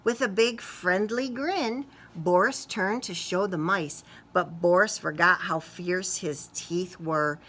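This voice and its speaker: A woman reading a picture book aloud to young children expressively, pausing to ask them questions. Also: on the low side, fairly bright tone. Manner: talks to young listeners, asking questions. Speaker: children's story reader.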